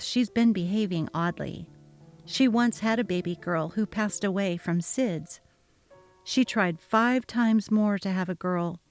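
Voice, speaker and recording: A woman's voice: medium pitch, a little mellow. A woman narrating a story in text-message form, voicing the young mother with indignant expression. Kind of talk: dramatic reading of text messages.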